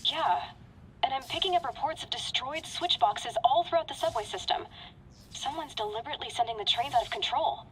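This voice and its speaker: A woman's voice: sits high, fairly quick, slight background noise, close to an everyday voice, poor audio. A woman voicing a resourceful young reporter giving urgent briefings.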